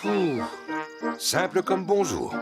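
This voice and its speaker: A man's voice: sits high, wildly swooping pitch, slow pace, over loud music, full character voice. A man voicing a big, jolly, bumbling daddy pig in a children's cartoon, hearty and good-humoured. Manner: jovial, hearty, chuckling.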